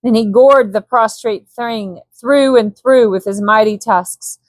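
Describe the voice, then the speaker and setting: A woman reading a scripted bedtime story as a calm audiobook narrator. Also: on the high side, a little slow, no echo.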